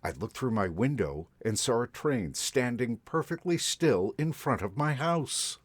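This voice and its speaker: Slow, expressive man reading a storybook. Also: medium pitch, husky, dry recording. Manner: gentle, unhurried, expressive reading. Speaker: storyteller in a Santa persona. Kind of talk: storybook read-aloud to children.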